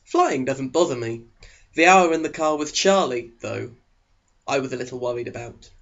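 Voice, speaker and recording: A man's voice: mid-range voice, lively intonation, moderate pace, a bit bright. A man narrating an audiobook in a sarcastic, melodramatic way. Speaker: audiobook reader. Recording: dull, lo-fi sound.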